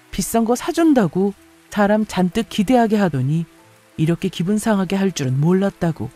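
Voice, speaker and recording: Woman reading a radio story, mellow relaxed voice, quiet music behind. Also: on the low side. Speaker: story narrator. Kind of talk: scripted first-person story narration.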